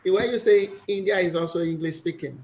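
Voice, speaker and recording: A woman's voice: fairly low pitch, brisk, dark tone, poor audio. A woman guest in an interview, explaining her views on business at length with frequent restarts. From Nigeria. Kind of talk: conversational interview.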